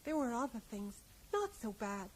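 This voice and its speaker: A high-voiced American widow in a radio play. She is plain-spoken, resigned and unsure of herself, rambling along and tacking small questions onto her remarks. A faint noise sits on the recording. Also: very animated, normal speed. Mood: matter-of-fact, reflective. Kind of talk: radio drama dialogue.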